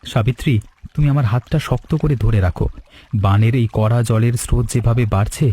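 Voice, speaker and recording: A man voicing a calm, responsible young village husband in a cartoon story, steady and formal. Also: mid-range voice, brisk, lightly acted. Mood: decisive. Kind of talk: Bengali cartoon story dialogue.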